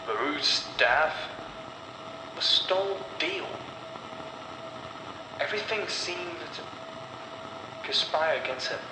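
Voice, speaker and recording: A man narrating a scripted horror tale as its storyteller, in an ominous, dramatic delivery with deliberate pauses. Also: on the high side. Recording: echoey long reverb, loud background noise.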